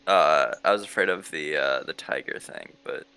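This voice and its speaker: A man's voice: rumbling low, normal speed, sharp tone, smooth, no echo, rough recording. A man talking casually and a little hesitantly as a player in an online tabletop game. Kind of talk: casual chat. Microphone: online voice call.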